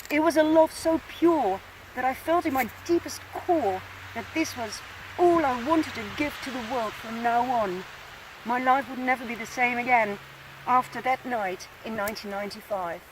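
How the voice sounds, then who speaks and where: A woman with a Dutch accent reading aloud from her own book in an earnest, measured way, outdoors in a snowy field. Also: on the high side. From Netherlands. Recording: distant mic, outdoor noise.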